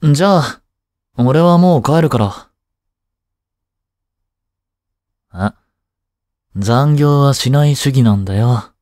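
A young man acting in an intimate voice drama as a caring tutor and medical student, soft, soothing and gently teasing as he scolds. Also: medium pitch, expressive, measured pace, dry recording, studio quality, natural voice. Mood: tender, teasing. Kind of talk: intimate one-sided voice drama aimed at women.

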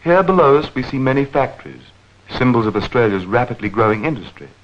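A man narrating a 1940s educational newsreel in a measured, clearly enunciated, explanatory manner. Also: medium pitch. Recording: dull vintage 1940s film soundtrack.

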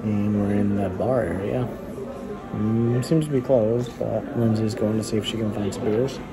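A man's voice: on the low side, fairly even intonation, normal speed, over loud music. A man in his thirties with a North American accent, chatting casually to camera as a family travel vlogger. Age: 30s. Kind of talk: casual vlog chat to camera. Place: cafe-like hostel bar and outdoor beer garden. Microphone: camera at arm's length.

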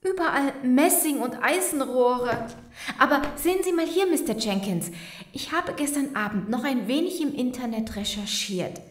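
A woman playing a sharp-witted, curious heiress in an audio drama, politely suspicious, thoughtful and quick to challenge. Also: sits high, lively intonation. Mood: curious, musing. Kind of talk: German audio drama acting. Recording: roomy, echoing sound.

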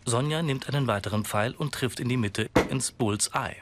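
A man's voice: mid-range voice, normal speed. A man reading scripted audio description in a neutral, matter-of-fact way. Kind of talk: scripted narration, plain present tense.

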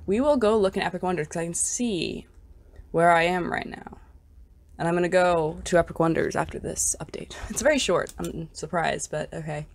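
A woman's voice: lowish voice, normal speed, creaky. Congested young woman gushing over game items. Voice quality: scratchy. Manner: chatty and bubbly, gushing over cute items. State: congested, scratchy voice. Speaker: gaming YouTuber. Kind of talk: casual gameplay commentary.

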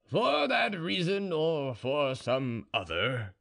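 A man acts out a tipsy, swaggering serf from a storybook tale, pitched high and lurching widely in tone. He drags out his boasts slowly, hesitating like a drunkard, proud and defiant throughout. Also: huge pitch swings, creaky, fairly bright tone, full character voice. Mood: boastful, careless.

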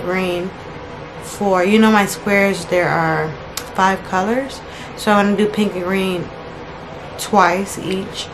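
Young woman, casual crochet vlog, relaxed, self-correcting, bedroom, camera on a table, loud background noise. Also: fairly low pitch. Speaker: crochet vlogger. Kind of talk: casual chat. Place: bedroom, indoors.

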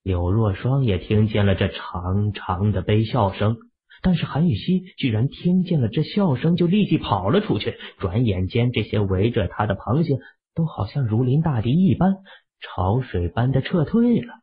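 A man with a husky voice narrating a fantasy novel as an audiobook in an emotive storytelling manner. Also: medium pitch, big pitch swings, moderate pace. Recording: muffled lo-fi audio.

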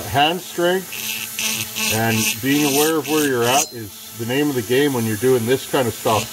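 Man, workshop tutorial, relaxed chatty delivery, middle pitch, grinder noise behind. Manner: relaxed, chatty, self-deprecating asides while working. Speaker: chainsaw builder demonstrating porting. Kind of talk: casual hands-on workshop tutorial. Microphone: camera close to workbench, speaker off camera. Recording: noisy workshop with power tool. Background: rotary grinding tool whirring.

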